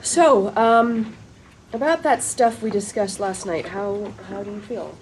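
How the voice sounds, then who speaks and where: A woman's voice: medium pitch, a little slow, natural voice. An actress playing a wry, independent woman in her thirties, reading at a sitcom table read with a dry delivery. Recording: noisy room ambience.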